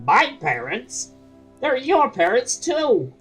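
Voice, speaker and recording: Cheeky dragonfly buddy, woman voicing him, super lively pitch, jokey stammer. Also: slow, music in the background. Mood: playful. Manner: stammering, joking, bouncy delivery.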